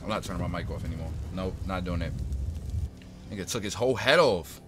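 A man's voice: low voice, a little fast, a bit bright, soft background music. A young American man giving casual gameplay commentary, with blunt, slangy reactions. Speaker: game streamer.

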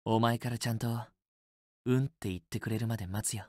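A man's voice: on the low side, steady tone, a little fast, warm tone, no echo, studio quality, close to an everyday voice. A man playing a possessive, teasing young student boyfriend in a romance game, with an intimate, assertive, coaxing delivery. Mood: tender, restrained longing. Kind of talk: Japanese otome game voice acting, intimate romantic dialogue.

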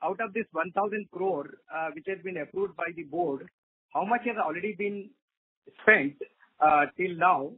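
A man with an Indian accent asking questions as an analyst on an earnings call, halting and polite. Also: high voice, slightly rough. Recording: phone-like radio-sounding conference line.

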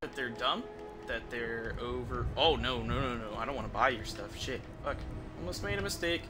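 Young man, game streamer, casual live commentary, rambling, profane, mid-pitched, prominent background music. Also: moderate pace. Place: indoor room at a streaming setup. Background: game music and sounds.

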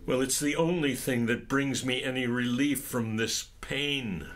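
A man's voice: mid-range voice, unhurried, a bit bright, a little hiss or hum, close to an everyday voice. An actor playing a weary, bitter, ailing king in a dramatic play, brooding and self-justifying. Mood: brooding, tormented.

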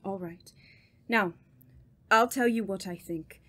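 A woman playing a grave, authoritative doctor of the supernatural in a horror radio drama, speaking deliberately and giving clipped orders. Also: mid-range voice, a bit bright. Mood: grave, probing. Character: commanding, grave female doctor investigating the supernatural. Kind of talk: old-time radio horror drama acting.

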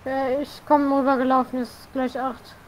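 A man's voice: level tone, normal speed, a little hiss or hum, low-quality recording. A man voicing a helpful young male farmhand in a farming game roleplay, answering briefly in a raised, put-on voice. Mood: compliant. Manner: high put-on voice, short replies.

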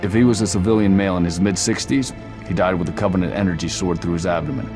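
A man playing a steady, dry-witted military commander in a video game cutscene, speaking tersely. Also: sits low, quick pace, music in the background, lightly acted. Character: firm, dry-witted male soldier commander. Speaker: squad commander.

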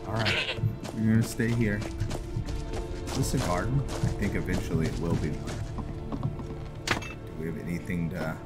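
A man giving casual, low-key video game commentary, thinking aloud as he plays, with music behind him. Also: steady tone, normal speed, long pauses. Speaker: gameplay host.

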